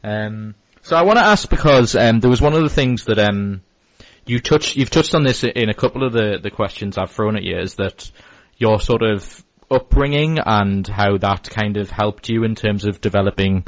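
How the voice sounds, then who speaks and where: A man's voice: lowish voice, expressive, normal speed, no echo, lo-fi sound. An English man hosting a podcast interview, casual, rambling and agreeable. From England. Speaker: podcast host.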